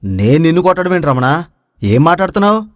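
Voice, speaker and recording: Man acting an evasive, fast-talking villager. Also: a little high, big pitch swings, dry recording, studio quality. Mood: mocking denial. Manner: denying, mocking. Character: evasive village man, hero's childhood friend. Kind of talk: acted moral-story dialogue.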